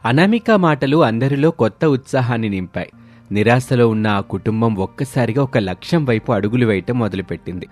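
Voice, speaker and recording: A man's voice: medium pitch, animated, a little fast, darkish tone. Male storyteller over music. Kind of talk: scripted story narration.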